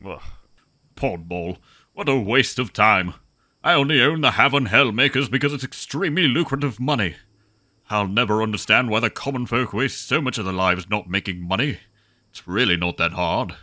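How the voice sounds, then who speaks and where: A man's voice: fairly low pitch, expressive, moderate pace, sharp tone, dry recording. A man playing a pompous, greedy corporate executive in a sci-fi audio drama, haughty and condescending in formal wording. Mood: disdainful, exasperated.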